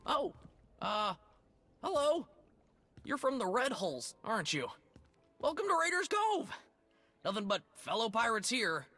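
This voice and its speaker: An actor playing a nervous pirate greeter who stammers and keeps laughing anxiously in a video game cutscene. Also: male voice, very high-pitched, sing-song, measured pace, long pauses, pushed and bright, no room sound, exaggerated voice. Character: nervous, jittery pirate greeter at a raider cove.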